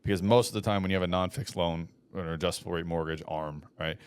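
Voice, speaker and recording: A young man co-hosting a casual podcast, giving matter-of-fact advice with quiet deadpan jokes. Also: low-pitched, fast, smooth, no echo. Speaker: podcast co-host, mortgage lender. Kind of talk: casual chat.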